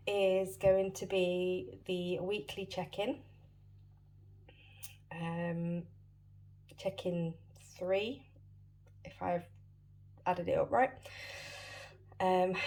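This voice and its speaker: British woman, lowish voice, wheezy, out of breath, chatty budgeting vlog, lots of ums. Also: dark tone. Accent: British English. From United Kingdom. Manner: chatty, apologetic, many ums. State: getting over a chest infection, a bit wheezy. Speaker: budgeting channel host.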